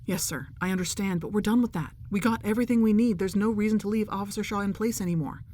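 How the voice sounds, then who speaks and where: Actress as a fast, insistent woman officer. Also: medium pitch, warm tone, lightly acted. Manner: clipped, formal, insistent. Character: dutiful, determined officer, respectful but fiery subordinate. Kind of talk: audio drama acting.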